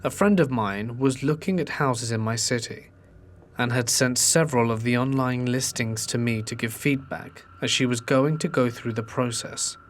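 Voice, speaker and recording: Male narrator for spooky true stories, mid-pitched, steady reading, faint hiss behind. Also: moderate pace. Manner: steady storytelling delivery, reading submitted accounts.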